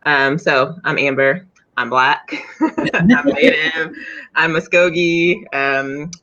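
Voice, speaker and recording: A woman introducing herself on a video call panel in a measured, deliberate way. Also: low voice, bright, forceful tone. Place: indoors at home, on a video call. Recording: dull lo-fi video call audio.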